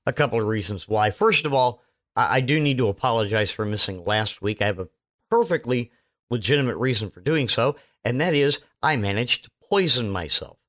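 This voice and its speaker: A man hosting his own podcast, his voice still hoarse from illness, rambling casually with lots of fillers and self-mocking asides. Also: mid-range voice, lively intonation, a little fast, fairly bright tone. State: hoarse, recovering voice. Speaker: podcast host. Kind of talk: casual solo podcast monologue.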